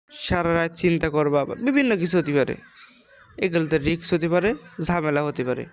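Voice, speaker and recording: A woman's voice: low voice, slight background noise. A woman with a Bangladeshi accent giving informal moral advice in a didactic, questioning way, repeating her points.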